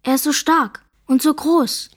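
A woman's voice: sits high, fairly even intonation, measured pace. A woman playing an innocent, earnest little boy in a children's radio play. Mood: innocent, puzzled. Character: naive, eager little boy admiring his father. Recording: woodwind music behind the voice.

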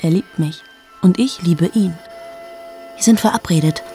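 Low-voiced, confiding woman in a radio monologue. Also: faint music behind. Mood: guarded, intimate. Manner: hushed, deliberate, often dropping towards a whisper. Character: 38-year-old female journalist, cool, amoral, confessional and self-absorbed. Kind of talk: intimate radio play monologue.

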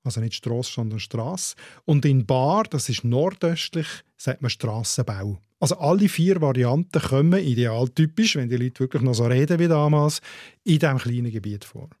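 A man with a Swiss German accent, explaining dialect features in a measured way and spelling out sounds slowly. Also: medium pitch, expressive, a little mellow, no echo, clean studio sound. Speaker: dialect expert.

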